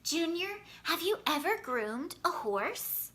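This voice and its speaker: A good-humored farmer in a children's storybook, as a woman reader puts him on. He sounds folksy and encouraging, with a high, bright voice and a slow, measured pace. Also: sing-song, full character voice. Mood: instructing.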